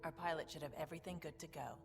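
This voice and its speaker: A woman playing a confident, brisk second-in-command aboard a starship in a video game. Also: low voice, vocal fry, big-room sound, music in the background. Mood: proud, informative.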